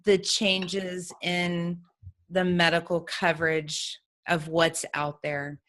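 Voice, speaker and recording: A woman's voice: on the low side, level tone, slow, a bit bright. A woman hosting an interview in a casual style, putting questions to her guests. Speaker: interview host.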